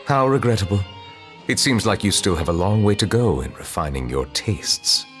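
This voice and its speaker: An actor playing a dignified ancient water dragon in human form, a solemn game character who speaks in a formal, measured, reserved way. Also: male voice, on the low side, expressive, fairly bright tone, long reverb tail. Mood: faintly disappointed. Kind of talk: video game voice acting. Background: game music.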